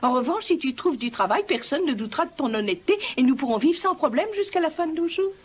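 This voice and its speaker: A woman playing a prudent mother in an animated film, earnest and gently admonishing. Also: high voice, fast talker. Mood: cautioning. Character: prudent, worried mother of a poor boy.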